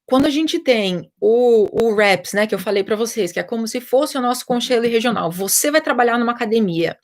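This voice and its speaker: A woman's voice: on the high side, lively intonation, a little fast. A young Brazilian woman, a personal trainer, chatting casually and explaining things to viewers, with lots of fillers. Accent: Brazilian Portuguese. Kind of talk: casual live chat answering viewer questions.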